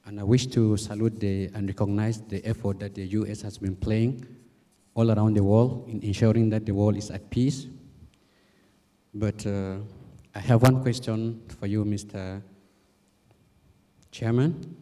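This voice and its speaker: This is a man from Cameroon with a very dark, mellow voice, asking a question from the floor of a lecture. He is earnest and formally polite, and his pitch sits a little low. A long reverb from the big hall hangs on his words. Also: little pitch movement, a little slow. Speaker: audience member asking a question.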